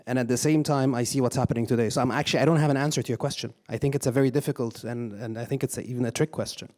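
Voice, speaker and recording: A man's voice: mid-range voice, steady tone, rapid-fire, darkish tone. Hesitant man with an Egyptian accent. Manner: hesitant, undecided. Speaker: audience member. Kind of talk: spontaneous answer at a microphone.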